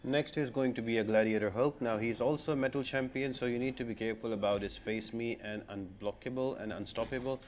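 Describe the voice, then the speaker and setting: A man's voice: mid-range voice, fairly even intonation, a little fast, few pauses, muffled recording, a little hiss or hum. A man narrating a video game walkthrough as a guide, explaining tactics step by step.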